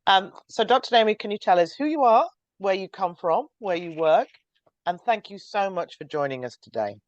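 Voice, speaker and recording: A woman in her forties or older hosting a podcast, reading a measured, scripted introduction before interviewing a guest. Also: mid-range voice, animated, dry recording, dull audio. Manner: clear, measured, reads from a script. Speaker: podcast host, transfusion practitioner.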